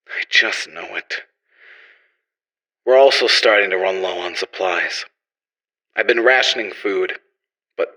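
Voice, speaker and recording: A man plays a weary, guilt-laden scientist hero in an audio horror drama. His voice is on the low side and halting, earnest as he clings to hope on a stranded space station. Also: bright, forceful tone, rough recording. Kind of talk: audio horror drama, personal log monologue.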